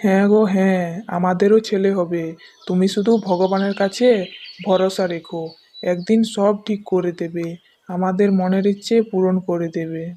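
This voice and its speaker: A woman voicing a gentle, caring young village husband in a storybook cartoon, speaking in a soft, reassuring way. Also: mid-range voice, level tone, normal speed, voice pushed down, natural voice.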